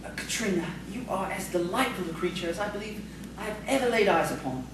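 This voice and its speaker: A woman playing an earnest, polite, excitable male schoolmaster in audio theatre, with a hesitant, genteel manner. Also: medium pitch, fast, lightly acted. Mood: courting, genteel. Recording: very echoey, distant, noisy room.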